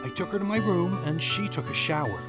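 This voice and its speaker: An earnest, easily flustered young guy from a manga dub, acted by a woman. The voice sits fairly low for a woman and swings up and down with lively pitch. Clear music plays behind it. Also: fairly quick, lightly acted. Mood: thoughtful, hesitant. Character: earnest, generous young male student smitten with a girl. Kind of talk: manga dub dialogue and first-person narration. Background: background music.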